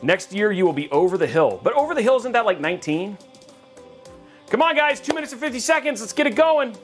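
A man's voice: high voice, sing-song, brisk, soft background music. A man from Texas hosting a casual livestream, reading chat and joking with viewers, rambling and restarting his sentences.

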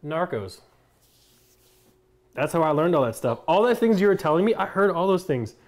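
A man's voice: fairly high pitch, lively intonation, normal speed. A young American man in casual, emphatic conversation, explaining his view and swearing freely in a home kitchen. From United States. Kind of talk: casual chat.

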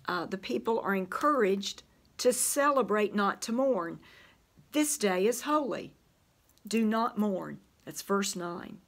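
Slow-spoken older woman teaching scripture. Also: on the high side. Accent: Southern US. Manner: slow, deliberate teaching with emphasis on scripture quotes. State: voice still recovering from flu. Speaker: Bible study teacher. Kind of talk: church Bible study lesson. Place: church library with bookshelves, indoors.

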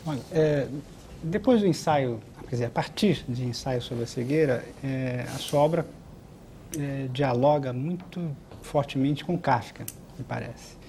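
A man's voice: medium pitch, unhurried, dark tone, a little hiss or hum. A middle-aged man, asking hesitant, hedged questions on a television studio panel. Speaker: interviewer. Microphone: lapel mic.

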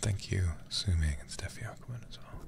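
Low whispering man, ASMR gamer. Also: a little fast, gravelly. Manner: murmuring to himself and chatting to viewers. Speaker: livestreamer playing a horror game. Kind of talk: whispered ASMR game stream chat. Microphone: close ASMR microphone.

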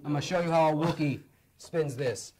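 A young man hosting a livestream in a studio, slightly hoarse from long talking, upbeat and full of fillers. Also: a little high, brisk, a crowd in the background. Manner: upbeat, filler-heavy presenting. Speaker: livestream host. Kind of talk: casual game-show chat. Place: indoor studio set. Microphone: lapel mic.

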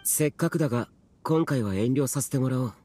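A man's voice: mid-range voice, brisk, dark tone, slight background noise, close to an everyday voice. Man playing a solemn, aloof young immortal warrior. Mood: solemn, reserved. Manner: reserved, formal, archaic. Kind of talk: Japanese video game voice acting.

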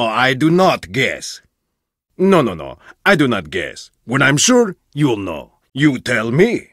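A haughty game archaeologist who lectures everyone as if they were beneath him. His delivery is theatrical and sweeps up and down in pitch, with a husky, breathy voice. The sound is dry and close, straight from a studio booth. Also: fairly bright tone, no echo. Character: arrogant egomaniac male archaeologist, middle-aged. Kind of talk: video game voice acting.

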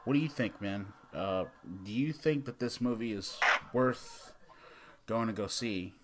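A man in his thirties giving a casual, unscripted movie review as a vlog host, rambling and halting, with some mild swearing. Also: on the low side. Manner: rambling, halting, mildly profane. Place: small room indoors at home.

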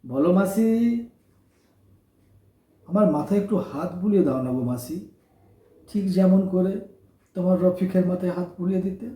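An actor playing an affectionate, motherless poor youngster in an emotional audio drama, coaxing and pleading in halting, repeated phrases. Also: medium pitch, steady tone, dark tone, reverberant, lightly acted. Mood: wistful, affectionate.

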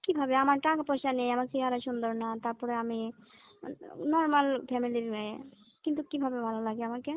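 Woman playing a hesitant, fast-talking young college student. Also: high-pitched, no echo, narrow, tinny audio, lightly acted. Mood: reluctant. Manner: deferential, explanatory, reluctant. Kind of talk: staged phone-call drama.

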